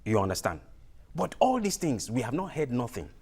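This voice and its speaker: A Nigerian sports scientist argues his case in a TV studio debate. His mid-pitched voice swoops up and down with animation as he lectures and repeats his points to push through interruptions. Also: sing-song, fairly quick, darkish tone, husky. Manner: emphatic and lecturing, repeats himself when interrupted.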